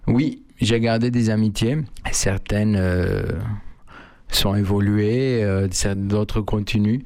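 Man, flat gravelly voice, halting answers, dry sound. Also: on the low side, no room sound. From Mexico. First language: Spanish. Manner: halting, many fillers and restarts. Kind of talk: hesitant interview answers.

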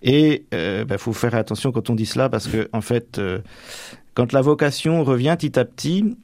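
A middle-aged man from Normandy giving reflective, halting interview answers, often tagging the ends of his phrases. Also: medium pitch, expressive, darkish tone, dry recording, clean studio sound. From Manche, Normandy, France. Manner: hesitant, halting, frequent tags at phrase ends.

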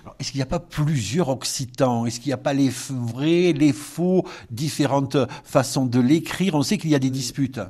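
A man's voice: mid-range voice, normal speed, few pauses, mellow. A male interviewer asking questions in a hesitant way, with frequent fillers.

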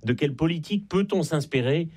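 A man with a Belgian French accent, a guest author on a radio interview, explaining in a measured way and stressing key points. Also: on the high side, animated, darkish tone.

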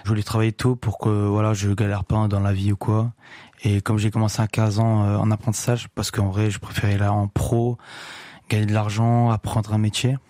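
A nineteen-year-old man talks about his life casually, with youthful slang. His voice is low, mellow and flat in melody. The sound is dry and close. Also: a little fast, no room sound. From France. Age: teens. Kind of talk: recorded personal testimony.

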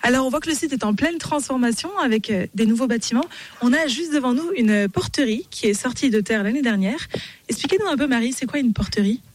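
A radio interviewer asking clear, prepared questions outdoors at a building site. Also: mid-range voice, expressive, a little fast, slight background noise.

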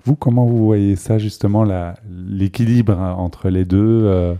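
A man's voice: lowish voice, steady tone, unhurried, warm tone, smooth. A male interviewer asking rambling questions with restarts.

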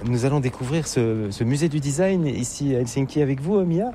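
A man's voice: medium pitch, fairly quick, crowd noise. A man with an accent from France, working as a reporter in a conversational travel interview out on city streets. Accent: French from France. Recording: clear outdoor city traffic noise. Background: street ambience, passing vehicles.

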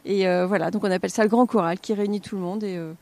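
A woman directing a choral festival, explaining the program in an interview with many pauses and fillers. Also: medium pitch, steady tone, a little mellow. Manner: hesitant, many fillers and restarts. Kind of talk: radio interview.

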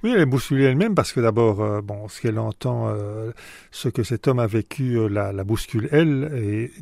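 A man's voice: mid-range voice, expressive, brisk, vocal fry, a little mellow, no room sound, clean studio sound. A man from Belgium, an author answering radio interview questions, hesitant with many fillers and restarts.

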